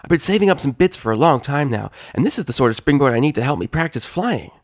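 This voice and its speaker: A man voicing a brash, excitable young tomboy pegasus filly with cheeky slang in a cartoon story reading. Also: medium pitch, fast, dry recording, studio quality, pitched above the performer's own voice. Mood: eager.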